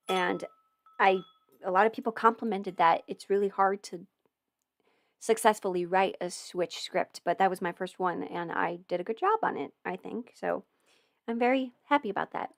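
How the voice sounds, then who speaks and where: A woman's voice: medium pitch, no room sound. Casting: young adult woman, chatty and rambling, laughs a lot, casual swearing, dry close-mic sound. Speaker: audio performer answering viewer questions. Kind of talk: casual livestream chat.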